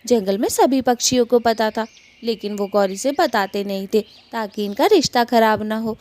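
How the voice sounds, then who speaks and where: A woman's voice: fairly high pitch, moderate pace, darkish tone, slight background noise. A woman narrator reading a scripted children's story.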